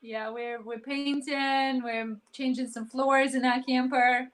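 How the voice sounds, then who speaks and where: A woman's voice: high-pitched, steady tone, slow pace. A woman with a Russian accent, a native Russian speaker, talking as a guest in a casual chat and giving short, agreeing replies.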